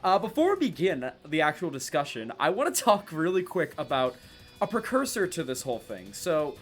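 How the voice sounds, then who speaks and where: A man with a fairly high voice hosting a loose podcast chat, talking off the cuff and circling back with fillers and restarts. He sounds a little stuffy and nasal from a cold. Faint music plays behind him. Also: very animated, moderate pace. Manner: rambling, with many fillers and restarts. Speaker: podcast host.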